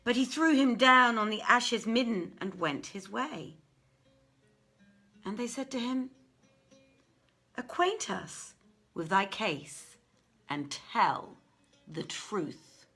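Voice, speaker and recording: Slow, bright-voiced woman reading a tale dramatically. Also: on the high side, animated, husky, faint music behind. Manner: theatrical, measured, deliberate delivery. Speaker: storyteller reading aloud. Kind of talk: dramatic literary story reading.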